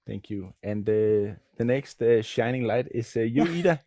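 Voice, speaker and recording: A man's voice: medium pitch, animated, normal speed, warm tone, no room sound, studio quality. A man hosting a fashion panel, friendly and hesitant, with many fillers. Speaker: panel moderator, magazine editor. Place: studio panel at a fashion event.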